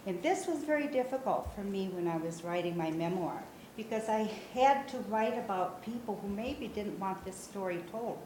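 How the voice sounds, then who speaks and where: A woman in her sixties from Brazil, a native Portuguese speaker, giving an informal talk about writing her memoir to a small group, conversational with many "uh" pauses. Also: lowish voice, a little mellow. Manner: conversational, frequent "uh" pauses, gestures while speaking. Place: small meeting room, indoors. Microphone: far camera. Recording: roomy echo, faint room noise.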